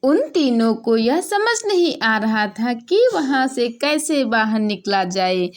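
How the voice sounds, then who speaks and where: A woman tells a children's story as a scripted narrator. Her voice is fairly high and very animated in pitch, with slow, dramatic, expressive phrasing. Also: slight background noise.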